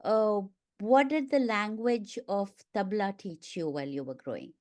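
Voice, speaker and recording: A woman's voice: mid-range voice, unhurried, a little mellow. A woman hosting a radio talk show, asking questions in a friendly, upbeat way with some fillers. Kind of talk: radio interview.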